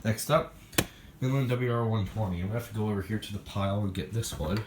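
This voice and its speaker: A man with a deep voice talks through a casual, unscripted demonstration. He rambles and thinks aloud as he handles his gear. The room is echoey, and loud noise runs behind his voice. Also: fast. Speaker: hobbyist showing a weather radio collection. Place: indoor room, recorded while handling radios.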